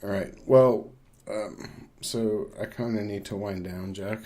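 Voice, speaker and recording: Lower-voiced man in casual podcast chat. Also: steady tone, slow, mellow. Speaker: podcast co-host. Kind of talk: casual film discussion.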